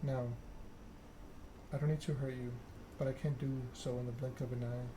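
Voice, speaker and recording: A man voicing a menacing, formal vampire enforcer from a video game, speaking in a measured monotone. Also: medium pitch, background noise, close to an everyday voice. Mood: threatening. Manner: measured monotone recitation, veiled threats. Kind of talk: video game dialogue read aloud.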